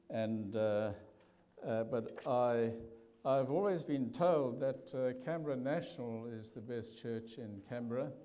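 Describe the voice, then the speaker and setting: An older man with a South African accent giving a testimony talk in church, pausing often with fillers and restarts. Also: mid-range voice, dark tone. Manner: halting, many fillers and restarts. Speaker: guest preacher.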